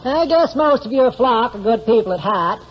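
An actor playing a dour, gossipy small-town wagon driver in an old radio drama, with a laconic rural American drawl. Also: male voice, extremely high pitch, lively intonation, normal speed. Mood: dryly gossipy. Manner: laconic drawl, hemming before he answers. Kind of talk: 1940s radio drama acting. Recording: dull, noisy old radio recording.

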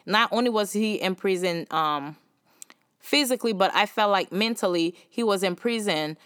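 A woman's voice: mid-range voice, normal speed, fairly bright tone, clear, resonant tone, dry recording, studio quality. A young woman born in Haiti giving an earnest, casual faith-based talk on mental health, full of fillers. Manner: earnest, informal, many fillers. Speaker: speaker sharing faith and mental health advice.

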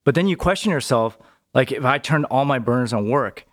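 A man talking as a podcast guest in a relaxed, chatty way, often tagging his points with a quick question. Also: mid-range voice. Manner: relaxed, chatty, tags sentences with "right?". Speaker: podcast guest, business owner. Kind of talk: casual conversational interview.

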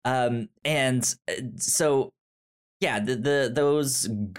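Slow-talking man with a repeating stutter. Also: medium pitch, clean tone. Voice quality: stutters, repeating sounds on words. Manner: halting, rambling, self-deprecating. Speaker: podcast host. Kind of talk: casual book discussion.

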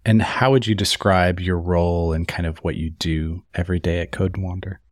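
A woman's voice: a bass voice, fairly even intonation, brisk, no echo, clean studio sound. A woman hosting a podcast interview, asking curious questions with short replies in between. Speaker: podcast host.

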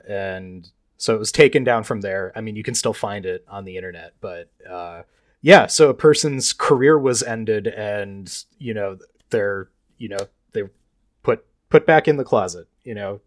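A man's voice: on the low side, normal speed, no echo. A man explaining at length in a rambling, emphatic way, swearing freely in casual chat.

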